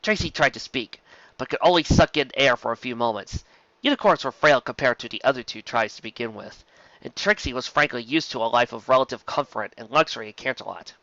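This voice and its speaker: A narrator reading fan fiction aloud in an expressive, animated way, occasionally stumbling over the words. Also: medium pitch, brisk, dry recording, highs cut off. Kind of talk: expressive scripted story narration.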